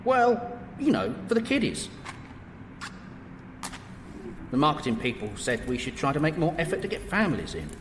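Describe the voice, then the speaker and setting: A long-suffering, apologetic manservant in a comic radio play, his pitch rising and dipping in a lively way. His obsequious lines ring with long hall reverb. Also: fast talker, a little hiss or hum. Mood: deferential, patient. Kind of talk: comic audio drama acting.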